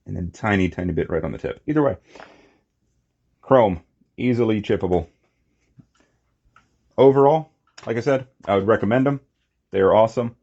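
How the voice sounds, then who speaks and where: A man's voice: lowish voice, animated, normal speed, creaky, pauses often, a little mellow, slightly rough, highs cut off. A man giving a casual, unscripted hands-on toy review, rambling and murmuring to himself as he goes. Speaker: toy collector reviewing figures.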